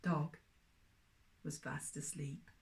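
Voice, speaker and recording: A woman's voice: low-pitched, flat delivery, slow. An older woman telling a children's story expressively, addressing small children.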